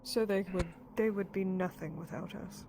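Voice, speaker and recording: A woman's voice: medium pitch, moderate pace, noisy background, close to an everyday voice. A woman playing a wry, foul-mouthed, wary female fighter for hire in live fantasy roleplay. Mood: smug. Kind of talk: live improvised fantasy roleplay in virtual reality. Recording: clear background music behind the voice.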